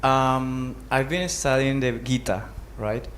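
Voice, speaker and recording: Male student, medium pitch, polite, tentative, conversational, slight background noise. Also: fairly even intonation, slow, fairly bright tone. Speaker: newcomer student asking questions. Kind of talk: casual class question.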